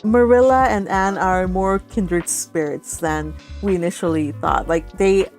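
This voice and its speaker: A woman hosting a read-along, talking casually about a book in a giggly, animated, rambling way. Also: lowish voice, unhurried, faint music behind.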